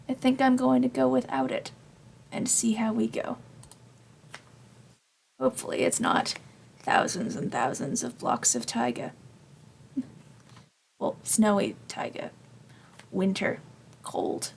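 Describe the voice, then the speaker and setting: Woman gamer, slightly raspy, gravelly, lively pitch, rambling self-deprecating commentary, faint keyboard noise behind. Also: slow pace, pauses often. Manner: rambling, self-deprecating, talks to herself while playing. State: slightly raspy from long talking. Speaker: gaming channel host. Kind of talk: casual Minecraft let's play commentary.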